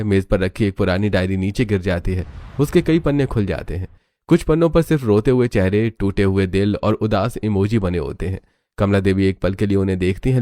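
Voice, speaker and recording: A man's voice: fairly low pitch, mellow, no echo. A man narrating a scripted family cartoon story.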